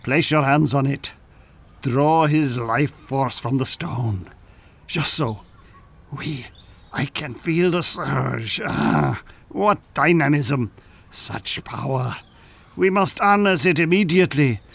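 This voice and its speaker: An actor playing a pompous, scheming French professor in a comedy audio drama, with a theatrical manner and a French accent. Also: male voice, mid-range voice, lively intonation, slow pace, gravelly, exaggerated voice. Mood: grand, excited. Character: pompous, scheming French professor and time-travelling mad scientist.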